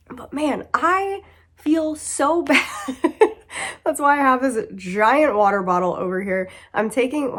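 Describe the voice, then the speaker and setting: A woman in her thirties with a sick, congested voice, chatting about her monthly reading at home, laughing and breaking off mid-sentence. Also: medium pitch. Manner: chatty, laughing, self-interrupting. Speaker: book vlogger. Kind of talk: casual reading wrap-up chat.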